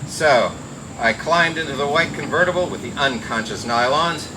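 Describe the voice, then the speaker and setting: An actor playing a wisecracking, cool private detective who narrates in slangy old radio noir style. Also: male voice, a little high, moderate pace, lightly acted. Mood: wry, cool. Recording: noisy old radio drama recording.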